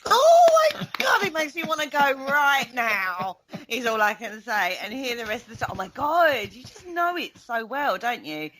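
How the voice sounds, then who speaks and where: Excited gushing woman host. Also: a little high, normal speed, a crowd in the background.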